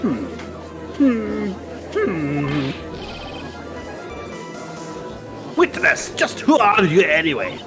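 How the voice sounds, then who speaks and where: A man's voice: on the high side, wildly swooping pitch, slow pace, music in the background, heavily acted. A man playing a flustered, easily baffled old judge in a live dub of a courtroom game.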